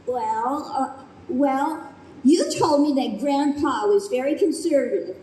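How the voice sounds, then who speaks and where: A high, slow child's voice echoes over faint noise. It is a stubborn, cheeky young girl, acted by a woman in old-time radio style. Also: expressive, warm tone. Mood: defiant. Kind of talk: live old-time radio play acting. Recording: echoey live stage with background noise.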